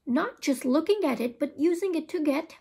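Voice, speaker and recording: Woman as an exasperated high-voiced sixth-grade boy. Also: expressive, moderate pace, mellow. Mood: unsettled. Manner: questioning, exasperated, blunt. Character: Peter, unsettled, argumentative sixth-grade boy.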